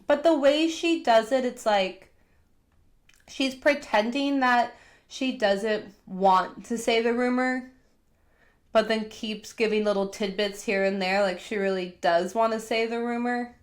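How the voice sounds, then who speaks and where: Woman, podcast host, fairly high-pitched, congested, chatty, gossipy recap, lots of "like". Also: unhurried. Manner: chatty, heavy use of "like", gossipy retelling. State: congested from a cold. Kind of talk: casual pop culture recap.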